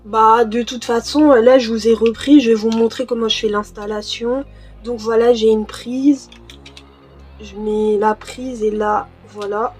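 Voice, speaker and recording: Slow, hesitant young woman vlogging. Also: on the high side, fairly even intonation, darkish tone, soft background music. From born in Cameroon, raised in France. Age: young adult. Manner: hesitant, many fillers and restarts. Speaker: YouTuber. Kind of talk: casual vlog chat. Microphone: desk mic.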